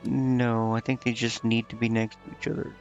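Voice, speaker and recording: Man, mid-pitched voice, casual gaming stream, muttering to himself, slightly dull audio, faint music behind. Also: little pitch movement, moderate pace, vocal fry. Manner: muttering to himself, puzzling things out. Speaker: livestreamer playing a strategy role-playing game. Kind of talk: casual gaming stream commentary, thinking aloud. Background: game music.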